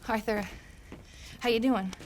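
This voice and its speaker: An actress plays a worried young American frontier mother in a Western game. Her slightly high voice pleads haltingly, with an edge to it and faint noise behind. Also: steady tone, lightly acted. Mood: pleading. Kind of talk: dramatic Western video game acting.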